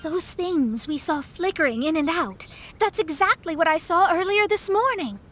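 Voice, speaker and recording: A woman's voice: very high-pitched, lively intonation, normal speed, fairly bright tone. A woman playing an earnest, anxious young astrologer with a hesitant manner in an English game dub. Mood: puzzled.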